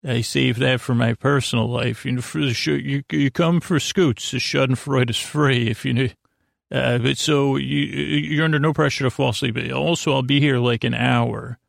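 A man hosting a sleep podcast, rambling in a halting, meandering way with self-deprecating, mildly silly wordplay. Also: medium pitch, steady tone, creaky, no echo, clean studio sound. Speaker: podcast host. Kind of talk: rambling bedtime monologue, loose storytelling.

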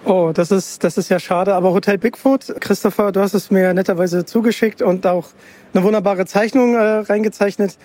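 A man's voice: high-pitched, steady tone, moderate pace, darkish tone. A man working as an interviewer, chatting casually with a polite, enthusiastic manner.

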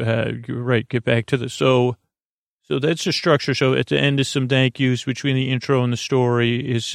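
A man hosting a sleep podcast, talking gently in a meandering, halting, goofy monologue with a creaky voice. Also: mid-range voice, dry recording, studio quality. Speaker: podcast host.

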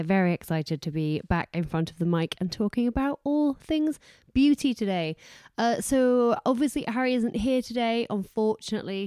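A woman's voice: mid-range voice, warm tone, no echo, clean studio sound. A woman hosting a podcast from home, chatty and self-deprecating, who is losing her voice. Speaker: podcast host. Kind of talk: casual podcast chat.

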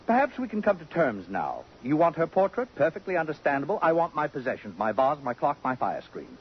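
An actor playing a haughty, sarcastic society gentleman with elaborate, pompous diction in a 1950s radio drama. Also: fairly high pitch, huge pitch swings, fairly quick, husky, exaggerated voice. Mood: mocking. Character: haughty, possessive, acid-tongued society gentleman, rival of a detective. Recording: muffled 1950s radio recording.

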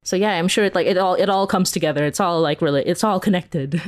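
A woman's voice: fairly low pitch, a little fast. A young adult woman chatting casually as an interview guest, giggly and often acting out imagined remarks. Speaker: podcast creator and interview guest.

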